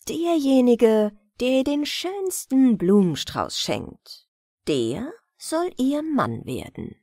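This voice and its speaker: Slow, high-voiced woman storyteller. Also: a little mellow, no echo, clean studio sound. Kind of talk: scripted bedtime fairy-tale narration.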